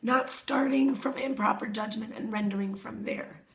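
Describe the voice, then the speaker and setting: A hoarse woman giving a reflective self-help talk as a wellness coach, speaking softly and meditatively and often dropping to a whisper. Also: a little high, normal speed. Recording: echoey room.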